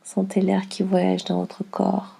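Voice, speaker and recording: Gravelly, hushed woman guiding relaxation. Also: mid-range voice. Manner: slow, calm, soothing instruction with long pauses. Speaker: yoga nidra guide. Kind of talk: guided relaxation meditation.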